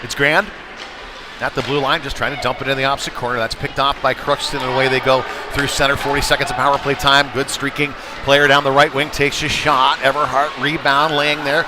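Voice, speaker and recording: A man doing conversational, folksy live play-by-play commentary of a youth hockey game, getting excitable when play heats up. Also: medium pitch, fairly quick, background noise. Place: indoor ice rink. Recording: live rink stream with music behind. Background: music over rink PA.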